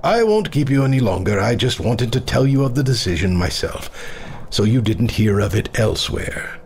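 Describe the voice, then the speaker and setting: Grave, gravelly warrior father. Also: medium pitch, big pitch swings. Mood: gentle. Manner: measured, formal. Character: aging warrior father, grave, proud and loving. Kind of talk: fantasy audio drama acting.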